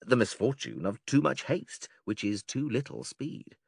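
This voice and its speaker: A man playing a patient, methodical philosopher who leads an argument in a dramatised classical dialogue, measured, formal and questioning. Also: medium pitch, very animated, creaky, a little mellow, husky, dry recording, studio quality, heavily acted. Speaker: audiobook actor reading philosophical dialogue.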